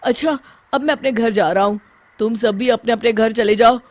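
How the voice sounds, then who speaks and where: A woman's voice: a little high, normal speed, darkish tone, no room sound, lightly acted. A woman voicing frightened village men in a children's cartoon story, panicky, pleading and exclamatory. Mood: nervous.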